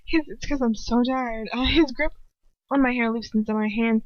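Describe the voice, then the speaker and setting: A woman with a fairly high voice gives a dramatic first-person story reading. She is informal and trips over words now and then, on a dry but somewhat dull home microphone. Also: moderate pace, no echo. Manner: expressive, informal, stumbles over words and self-corrects. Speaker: amateur fan fiction reader.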